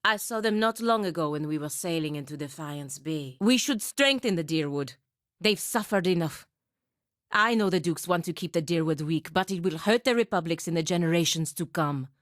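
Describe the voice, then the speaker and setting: A woman's voice: fairly low pitch, animated, normal speed, dry recording, natural voice. A woman voicing a proud, disciplined birdlike paladin in a video game, with a dry, clipped, commanding manner and sardonic edge. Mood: earnest. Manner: dry, clipped, commanding, with foreign words mixed in. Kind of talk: video game voice acting, dialogue and battle barks.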